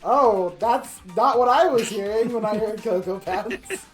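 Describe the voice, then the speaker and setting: A woman's voice: on the high side, unhurried, music in the background. A woman streamer chatting casually during gameplay.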